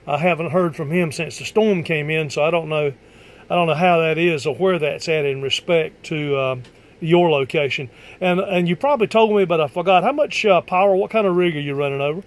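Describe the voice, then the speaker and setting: Male amateur radio operator, voice a little high, casual on-air chat style. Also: a little fast. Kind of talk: casual ham radio conversation.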